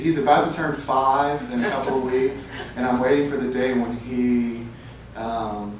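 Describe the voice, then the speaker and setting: A man, speaking informally as an author at a public talk, with self-deprecating, anecdotal delivery. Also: medium pitch. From Louisiana, United States. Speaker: author speaking at a book reading. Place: indoor humanities center event room. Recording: echoey, noisy hall, far from mic.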